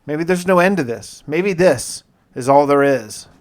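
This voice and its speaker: A man with a fairly high-pitched voice narrates a survival game as first-person roleplay. He talks casually and confidingly, as if keeping a personal log, using mild everyday exclamations. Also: animated, unhurried, faint music behind. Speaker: gamer narrating his survival challenge.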